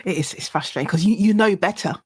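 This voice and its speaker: A woman hosting a podcast interview, chatty and quick to laugh as she asks questions. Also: on the low side, slightly rough. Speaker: podcast host. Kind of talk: casual interview chat.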